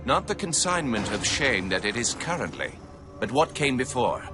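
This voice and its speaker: A man's voice: medium pitch, sing-song, moderate pace, close to an everyday voice. A man playing a solemn chronicler, giving formal, stately narration in a sci-fi game cutscene. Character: solemn chronicler of an ancient alien warrior history. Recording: music under the voice.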